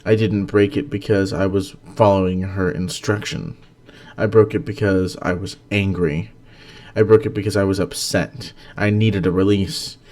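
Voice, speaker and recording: A man's voice: low-pitched, flat delivery, moderate pace, darkish tone. A man who hosts and narrates stories, reading in an earnest, dramatic way and chatting casually about them. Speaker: host and story narrator.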